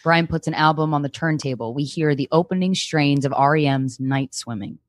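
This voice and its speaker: A woman's voice: low voice, normal speed. A woman comedian guesting, chatting casually and reading screenplay stage directions aloud.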